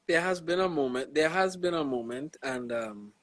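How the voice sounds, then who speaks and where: A man's voice: on the high side, animated, moderate pace, fairly bright tone, no echo. A young man with a Trinidadian accent, a gospel artist speaking reflectively and casually in an interview. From Trinidad and Tobago. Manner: laid-back, reflective, slangy with many "you know"s. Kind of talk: casual interview over a live stream.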